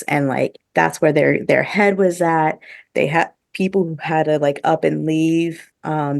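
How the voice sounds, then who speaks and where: A woman co-hosting a podcast in a casual review chat, with halting, filler-heavy phrasing. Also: low-pitched, gravelly, dry recording, clean studio sound. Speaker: podcast co-host.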